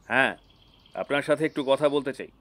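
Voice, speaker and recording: A man's voice: medium pitch, lively intonation, fairly quick, fairly bright tone, natural voice. A man playing a wary, serious investigator in an audio drama. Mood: guarded.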